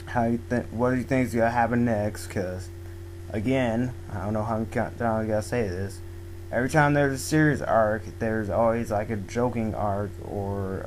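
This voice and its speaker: A young man talks at an unhurried pace in a lowish voice that is wearing hoarse. He rambles through a casual review, packing his sentences with fillers and restarts, into a home microphone with a faint hum behind him. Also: a little mellow, rough recording. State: losing his voice. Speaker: manga video blogger. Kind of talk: casual spoken manga review.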